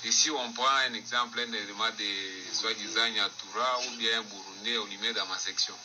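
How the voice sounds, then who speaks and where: A man, a soldier being interviewed, who speaks haltingly and keeps reaching for examples. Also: on the low side, steady tone, background noise. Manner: halting, circles back with examples.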